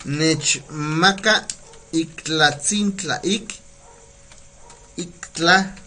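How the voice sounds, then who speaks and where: A Mexican man with a Mexican Spanish accent hosting a casual live language lesson, chatty and laughing often, repeating words slowly for pronunciation. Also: a little high, bright, forceful tone, slight background noise. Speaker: community radio host teaching Nahuatl.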